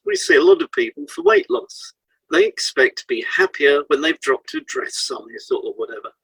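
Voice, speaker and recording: A man co-hosts an educational podcast, reading from a script. His voice sits a little high and swings widely in pitch. It has a husky, rough edge. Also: fairly bright tone, dry recording, low-quality recording. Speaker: podcast co-host and hypnotherapist. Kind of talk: scripted educational narration, read aloud.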